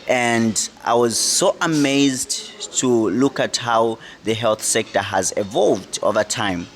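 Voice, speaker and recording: A man with a Zambian accent, a journalist, telling a long story in an interview answer with lots of fillers. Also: lowish voice. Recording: crowd chatter in the background.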